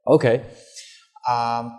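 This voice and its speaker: A young man with a Filipino English accent hosting an interview, asking questions briskly and upbeat on a lapel mic. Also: medium pitch, flat delivery, dark tone, faint music behind. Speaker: interview host. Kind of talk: casual interview.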